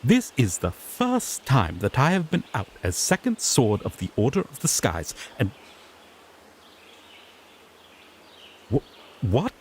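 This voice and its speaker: A man's voice: medium pitch, wildly swooping pitch, measured pace, a little mellow, a little hiss or hum. A man playing a sassy, exasperated griffin knight in a fantasy audio roleplay, pompous one moment and sardonically grumbling the next. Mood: exasperated, flustered. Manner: pompous proclamations slipping into flustered, sardonic grumbling.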